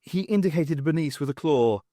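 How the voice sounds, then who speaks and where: A man narrating scripted fiction for an audiobook in an expressive, theatrical storytelling manner. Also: a little high, moderate pace, no room sound, studio quality.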